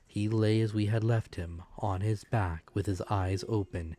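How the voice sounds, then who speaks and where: A man giving a dramatic, scripted audiobook narration of an adventure story, measured and expressive. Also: on the low side.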